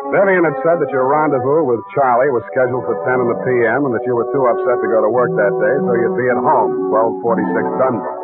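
An actor playing a dry, sardonic, wisecracking private detective in a 1940s radio drama. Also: male voice, medium pitch, fast, hardly any pauses, over loud music, close to an everyday voice. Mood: wry, weary. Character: wry, hard-boiled male private detective. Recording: tinny 1940s radio recording.

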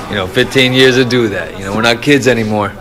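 A man's voice: mid-range voice, normal speed, crowd noise, lightly acted. A man playing a tough, guarded young street criminal with terse slangy talk in a video game. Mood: wry, guarded. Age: young adult. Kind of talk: video game cutscene acting.

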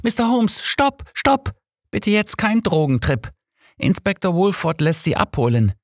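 A man voicing an excitable woman who pleads urgently in an audiobook. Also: high-pitched, a little slow, dry recording, clean studio sound, pitched above the performer's own voice, exaggerated voice. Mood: alarmed, urgent. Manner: urgent, exclaiming.

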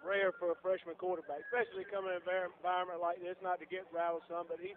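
A man's voice: high voice, flat delivery, rapid-fire. A man from Georgia, a plain-spoken football coach giving a post-game radio interview and often repeating his phrases. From Georgia, USA. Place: locker room. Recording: muffled lo-fi radio broadcast recording.

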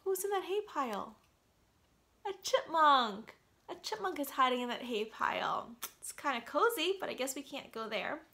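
Animated woman reading to little kids, high voice. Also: normal speed. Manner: animated, questioning, addresses the child directly. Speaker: doctor reading a children's book aloud. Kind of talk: interactive picture-book reading for young children. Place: small quiet room at home.

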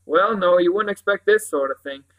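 A man's voice: high-pitched, moderate pace, fairly bright tone, voice pushed up, low-quality recording. A man voicing a middle-aged village police sergeant in an audiobook, folksy and confident, dropping words as he talks. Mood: chatty. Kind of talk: audiobook character voice.

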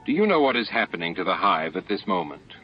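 A man voicing a steady, dutiful starship captain in an audiobook, frank and measured. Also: sits low. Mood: dry, firm. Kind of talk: audiobook character dialogue. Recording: muffled lo-fi audiobook with music.

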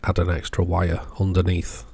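Deep, gravelly man narrating repairs. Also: completely flat delivery, normal speed, a little mellow. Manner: plain-spoken, step-by-step explaining in short phrases. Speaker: electronics repair channel host. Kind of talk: casual workbench repair narration.

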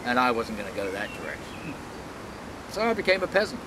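A man's voice: medium pitch, sing-song, a little fast. Older man over noisy outdoor ambience. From London, England. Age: 60s. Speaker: world traveler being interviewed. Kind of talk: reflective personal storytelling to camera. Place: outdoors in a leafy city park.

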